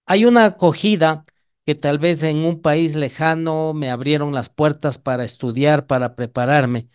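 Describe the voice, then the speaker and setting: A man's voice: fairly high pitch, steady tone, moderate pace, smooth. A man with an Ecuadorian Spanish accent preaching an evangelical sermon in a didactic way, often putting questions to his listeners.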